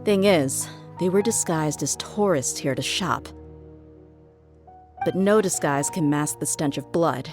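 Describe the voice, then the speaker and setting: A woman's voice: sits low, measured pace, music in the background. A woman playing a tough, streetwise, blunt female mercenary in an anime video game. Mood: suspicious. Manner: blunt, casual.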